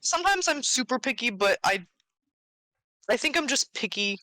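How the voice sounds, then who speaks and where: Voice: sits high, expressive, moderate pace, sharp tone, dry recording. A co-host on a casual book podcast, speaking in a critical, matter-of-fact way.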